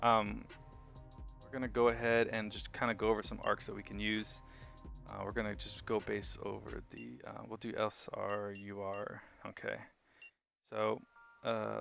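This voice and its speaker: A man's voice: lowish voice, vocal fry, a bit bright. A man giving casual, unscripted video game commentary, rambling and thinking aloud as host of the review.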